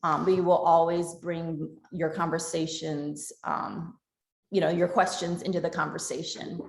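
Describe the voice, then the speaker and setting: A woman moderating a virtual panel discussion, friendly and halting with frequent fillers. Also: on the low side, little pitch movement, creaky, reverberant, poor audio. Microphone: video call.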